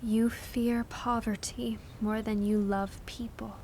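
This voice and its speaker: Woman acting slow, sorrowful young sweetheart. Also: a little high, little pitch movement, a little mellow, a little hiss or hum. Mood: sorrowful, resigned. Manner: breathy, half-whispered, grave. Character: Belle, sorrowful, gentle young woman leaving her betrothed. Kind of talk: audio drama acting.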